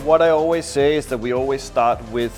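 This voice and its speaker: A man in his forties from Denmark, a florist hosting a workshop, explaining steps in a friendly, halting way with many ums. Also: medium pitch, over music. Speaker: florist host. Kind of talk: casual workshop chat. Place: bright indoor studio with flowers.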